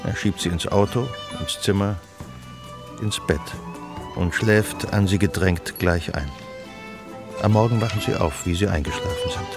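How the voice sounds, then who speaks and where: An actor narrating as a detached, observant storyteller in a scripted literary radio drama. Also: male voice, deep voice, a little slow, long pauses, music in the background. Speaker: third-person narrator.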